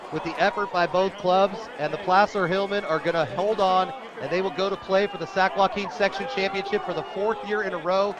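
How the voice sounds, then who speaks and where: An American man doing live radio play-by-play of a football game in an excited, emphatic way. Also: high voice. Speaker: play-by-play sports announcer. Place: outdoor football stadium. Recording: stadium crowd behind, outdoor game broadcast. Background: stadium crowd.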